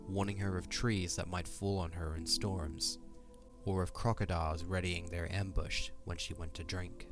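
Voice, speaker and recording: A man narrating a fantasy audio drama from a script. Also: low voice.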